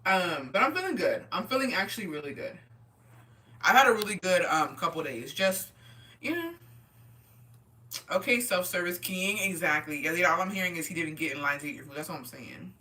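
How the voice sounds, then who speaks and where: Woman in her twenties, very bright forceful voice, tipsy, casual livestream chat, slangy and sweary, Spanish words mixed in, a bit far from the mic. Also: on the low side, expressive, moderate pace. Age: 20s. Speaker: livestream host chatting with viewers. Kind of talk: casual livestream chat, reading and answering comments. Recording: voice distant from the microphone.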